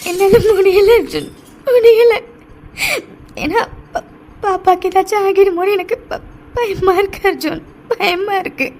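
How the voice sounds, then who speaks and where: Woman acting a scared guilty young wife in audio drama, super high weepy voice, stammers, faint noise. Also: darkish tone. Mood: panicked, crying. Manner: tearful, halting, stammering repetitions. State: crying. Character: frightened, guilt-ridden young expectant mother, pleading with husband.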